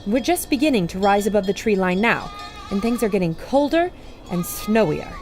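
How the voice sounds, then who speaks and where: An actress playing an earnest, wonder-struck radio reporter narrating an expedition in old-time serial style. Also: female voice, mid-range voice, expressive, normal speed, a little mellow, faint music behind, natural voice. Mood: earnest reporting. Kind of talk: 1930s radio reporting acting.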